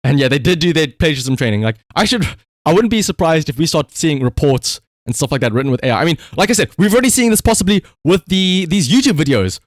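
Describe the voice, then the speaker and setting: A man's voice: a little high, expressive, fast talker, no echo, clean studio sound. A man giving casual livestream commentary in a rambling, conversational, emphatic way, as the host talking to viewers.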